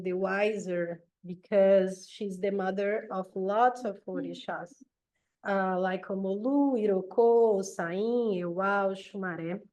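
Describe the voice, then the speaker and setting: Slow, mellow Brazilian-accented woman. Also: medium pitch. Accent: Brazilian Portuguese. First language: Portuguese. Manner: hesitant, many ums, a little nervous. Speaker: guest speaker. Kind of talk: online talk presentation. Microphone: video call.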